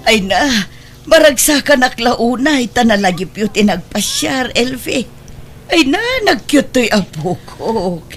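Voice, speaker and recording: An actress playing a doting older mother and grandmother, comforting, affectionate and expressive, in an audio drama. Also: a little high, unhurried. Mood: delighted. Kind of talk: Ilocano audio drama acting.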